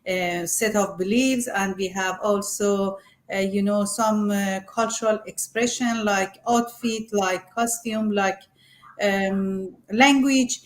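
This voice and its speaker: A middle-aged or older woman from Iran is being interviewed about her work. She has a Persian accent and a mid-range voice, and she speaks haltingly, often pausing to find her words in a second language. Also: mid-range voice, steady tone. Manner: halting, searching for words in non-native English. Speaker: arts group representative being interviewed.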